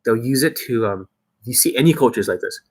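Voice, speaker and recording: A young man speaking straight to his viewers in a blunt, swearing rant. He fires off rhetorical question after rhetorical question in a husky voice at an ordinary pitch. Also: animated, brisk, a little mellow. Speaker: dating coach. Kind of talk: ranting monologue to camera.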